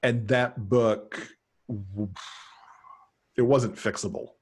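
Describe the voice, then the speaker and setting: A middle-aged man chatting casually as a podcast guest on a video call, pausing to think as he goes. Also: on the low side, fairly even intonation, husky. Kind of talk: casual conversational interview. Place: home room, on a video call.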